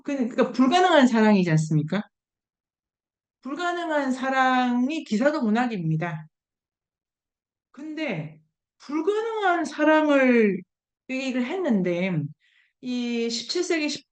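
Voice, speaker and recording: A woman's voice: a little high, darkish tone. A woman giving a conversational humanities lecture, acting out quoted remarks as she explains.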